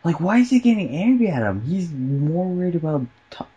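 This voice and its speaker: Male co-host, rough-edged voice, very lively intonation, casual movie banter. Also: on the high side, fairly quick, lo-fi sound. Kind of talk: casual film commentary among friends.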